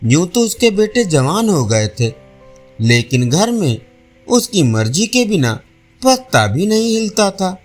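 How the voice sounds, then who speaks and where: A man narrates an audio folk tale in a high voice that moves up and down a lot. He takes his time with every phrase and tells it expressively, with soft instrumental music behind him. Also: very animated, unhurried. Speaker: storyteller. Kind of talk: scripted audio storytelling.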